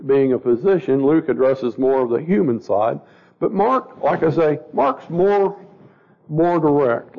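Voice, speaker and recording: Man, Bible study teacher, gravelly and stopped up, conversational, somewhat higher voice, dull lo-fi audio. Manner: conversational, repeats words, asks the group questions. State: stuffy and a little scratchy from a cold. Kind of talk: informal Bible study lesson. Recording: dull lo-fi recording.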